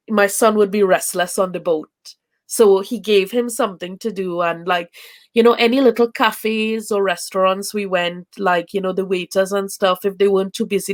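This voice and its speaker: A woman from Trinidad, a young adult and a traveling parent, chats as an interview guest, full of fillers and restarts. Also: mid-range voice. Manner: chatty, many fillers and restarts. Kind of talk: casual video chat. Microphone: video call.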